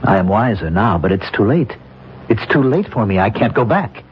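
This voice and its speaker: An actor in a 1970s radio drama playing a haunted, proud warrior, speaking gravely in short emphatic sentences. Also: male voice, lowish voice, expressive, moderate pace, slight background noise, natural voice. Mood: haunted, confessing. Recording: dull vintage radio recording, music behind.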